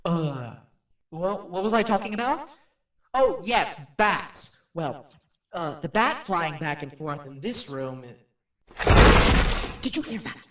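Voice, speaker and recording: Nervous radio personality, higher-pitched, jittery stammer, rambling chatter, radio drama, roomy echo. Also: male voice, very animated, takes it slowly, long pauses, a bit bright, heavily acted. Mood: uneasy. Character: nervous chatty male radio personality, rattled in haunted house. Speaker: radio host. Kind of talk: radio drama acting, rambling live monologue. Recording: roomy, distant microphone.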